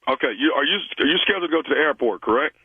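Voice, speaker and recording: A man playing a polite, hesitant hearse driver on a prank phone call, halting yet matter-of-fact and reassuring. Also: a little high, lively intonation, breathy edge. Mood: polite, businesslike. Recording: dry, dull phone-call audio.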